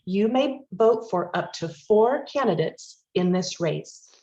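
A woman speaks as a forum moderator, reading formal scripted announcements in a measured way. Her pitch sits mid-range for a woman, and the audio is dull and lo-fi, like a video call. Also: animated, warm tone.

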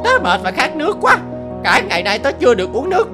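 Grumpy young monkey played by a woman, whining and peevish, animated cartoon delivery over music. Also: high-pitched, fast talker, voice pushed up. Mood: weary, whining. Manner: complaining, peevish. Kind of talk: cartoon folk tale dub.

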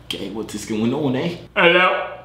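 A young man hosting a comedy video, sliding between a loud, high-pitched mock British accent and a low, casual drawl. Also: sing-song, normal speed, roomy sound, a little hiss or hum. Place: bedroom studio, indoors.

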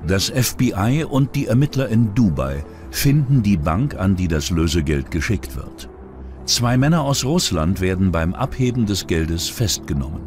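A man's voice: lowish voice, sing-song, moderate pace, a little mellow. A man narrating a true-crime documentary from a script. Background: music bed.

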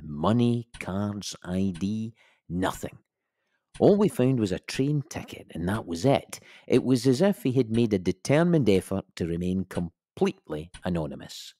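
An actor playing a middle-aged Scottish police inspector who gives measured, wry, matter-of-fact testimony in an audio drama. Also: male voice, on the low side, big pitch swings, dark tone. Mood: matter-of-fact, reflective. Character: dry, methodical male Scottish police inspector.

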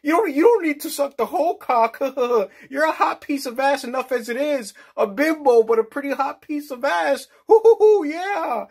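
A man acts out a lecherous male executive in a crude comic rant. The voice is pushed high and whiny, moaning and stammering as it pleads. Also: animated, normal speed, few pauses. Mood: pleading, aroused.

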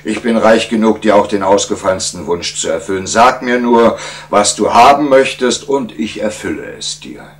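A man's voice: fairly low pitch, expressive, moderate pace, a little hiss or hum, voice pushed up, close to an everyday voice. A man voicing a proud, impatient king in a storybook tale, imperious and emphatic. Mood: grand, generous. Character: proud, impatient Indian king, easily angered. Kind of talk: storybook character acting.

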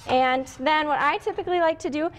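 A woman's voice: high-pitched, brisk, slight background noise. A young woman from Wisconsin, a guest home cook, walking through a recipe step by step in a casual, friendly way on a TV studio kitchen set. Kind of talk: casual cooking demonstration.

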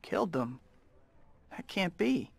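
A man's voice: high-pitched, big pitch swings, slow pace, vocal fry, breathy edge, no room sound, heavily acted. A man playing an earnest, boyish young superhero with a wry, troubled air in a video game cutscene. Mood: concerned. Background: loud music.

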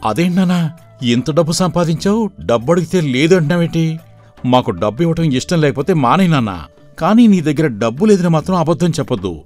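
A man playing a spoiled, greedy young village son, whiny and demanding, in an animated moral story. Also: on the high side, brisk, lightly acted. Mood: complaining. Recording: background music throughout.

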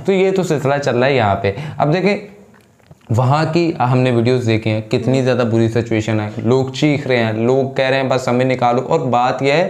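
A man's voice: medium pitch, fairly quick, a little mellow. A young man from Pakistan, co-hosting a reaction video in casual, rambling commentary full of fillers and restarts. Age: young adult. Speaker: reaction channel co-host. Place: small indoor room. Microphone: lapel mic. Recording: roomy small-room echo.

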